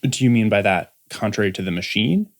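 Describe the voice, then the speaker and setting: A man as an earnest, animated rebellious son. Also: on the low side, a little fast. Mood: determined. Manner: insistent, intense, pleading. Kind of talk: full-cast audiobook acting.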